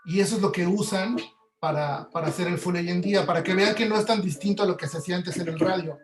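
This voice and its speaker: A man giving an informal, halting lecture, explaining as he goes. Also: sits high, music in the background. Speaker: audio producer giving a talk. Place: indoor room, online webinar. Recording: echoey room on webinar audio.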